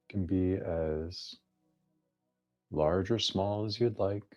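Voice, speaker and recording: A man leading a guided meditation as its teacher, speaking in gently inviting instructions with long pauses between phrases. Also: low voice, dull audio, soft background music.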